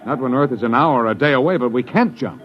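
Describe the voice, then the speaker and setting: An actor playing a gruff, scornful senior spaceship officer with curt, clipped delivery in a 1950s radio drama. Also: male voice, medium pitch, quick pace, close to an everyday voice. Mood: scornful, exasperated. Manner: curt, clipped commands and sarcasm. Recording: muffled, noisy old radio recording.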